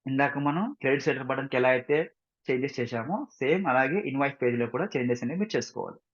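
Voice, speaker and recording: Software trainer, male, Telugu Indian accent, slightly rough husky tone, instructional step-by-step delivery with check-in questions, muffled lo-fi recording. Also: medium pitch. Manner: instructional, repeatedly checking understanding with short questions. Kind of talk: step-by-step screen-share tutorial, mixing two languages. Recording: dull, roomy lo-fi screen recording.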